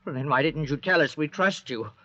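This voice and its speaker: A man's voice: fairly high pitch, fairly quick, a bit bright, dry recording. A man playing an anxious, pleading, bewildered castaway in a 1940s radio drama. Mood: pleading, accusing. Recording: dull, noisy 1940s radio recording.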